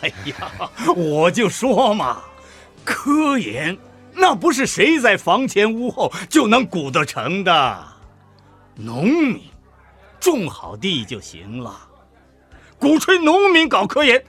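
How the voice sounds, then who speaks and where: A man's voice: high voice, wildly swooping pitch, takes it slowly, fairly bright tone, breathy edge, soft background music, heavily acted. An actor playing a condescending, pompous older male professor in a Chinese radio drama. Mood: smug, scornful.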